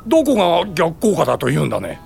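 A man's voice: on the high side, huge pitch swings, fast talker, vocal fry, over music, heavily acted. A man playing a pompous, lecturing former school principal turned child welfare officer in a radio drama. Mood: indignant. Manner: lecturing, self-important, indignant.

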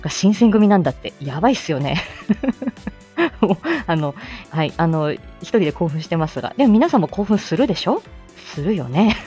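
A woman's voice: fairly low pitch, animated, moderate pace, warm tone, faint music behind. A woman hosting a casual morning livestream, chatting in a giggly, exclaiming way. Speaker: live audio show host.

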